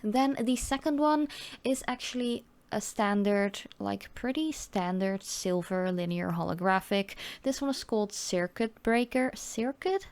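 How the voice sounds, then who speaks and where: A woman's voice: medium pitch, unhurried, a little mellow. A woman of about thirty from the Netherlands, Dutch by birth and speaking with a Dutch accent, chatting casually through a nail polish review and filling her talk with "like" and "you know". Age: 30s.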